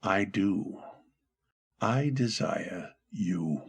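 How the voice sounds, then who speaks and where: A man's voice: deep voice, expressive, very slow, creaky, breathy edge, full character voice. A man in a community radio drama playing a brooding, wealthy widower who turns abruptly commanding. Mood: insistent. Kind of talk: old-time radio drama acting.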